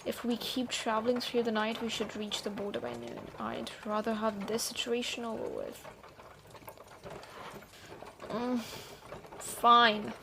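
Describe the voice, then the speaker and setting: Woman acting a guarded thief woman, slow and high. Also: flat delivery, background noise. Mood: tense, resigned. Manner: hesitant, sighing, halting delivery. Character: guarded, troubled human thief woman, reluctantly softening. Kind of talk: scripted roleplay audio drama.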